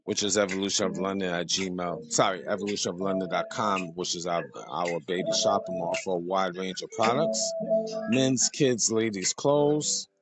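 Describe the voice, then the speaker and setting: A man hosting his podcast with a slightly hoarse voice, talking casually and repeating contact details in an easygoing, rambling way. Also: sits low, fairly even intonation, normal speed, over music. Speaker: podcast host. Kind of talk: casual podcast talk with sponsor plugs.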